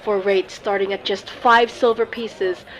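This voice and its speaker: A woman playing a grandiose showwoman guide in a fantasy audio roleplay, with salesmanlike patter and dramatic pauses. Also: medium pitch, fairly even intonation, some chatter behind, natural voice, low-quality recording. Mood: grand, inviting.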